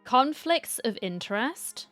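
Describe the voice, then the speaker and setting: A woman's voice: a little high, expressive, takes it slowly, fairly bright tone, dry recording, faint music behind. A woman giving casual, playful reaction commentary as she plays through a game's story, chatty and cheeky. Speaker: gamer reacting to a story quest.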